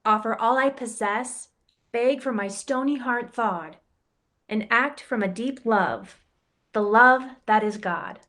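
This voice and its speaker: Slow, playful woman reading a rhyming storybook. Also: a little high. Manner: playful, expressive rhyming delivery with voiced quotes. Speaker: campus ministry director reading a picture book. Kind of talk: storybook read-aloud in rhyme.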